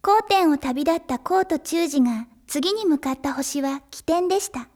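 A woman's voice: high-pitched, animated, moderate pace, darkish tone. A woman voicing a polite storyteller, narrating an anime adventure from a script.

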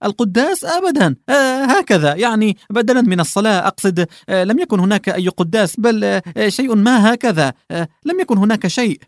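A man's voice: high-pitched, lively intonation, quick pace, no room sound, clean studio sound, pitched above the performer's own voice. A man voicing a tactless, bumbling old male official who fumbles, backtracks and stammers. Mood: flustered.

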